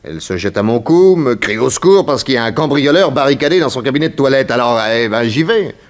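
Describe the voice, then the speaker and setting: Actor as brash, breezy detective hero. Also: male voice, mid-range voice, lively intonation, fast, close to an everyday voice. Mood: breezy, insistent. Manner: breezy, colloquial. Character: brash detective hero, late groom, cheeky. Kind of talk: 1960s French radio drama acting.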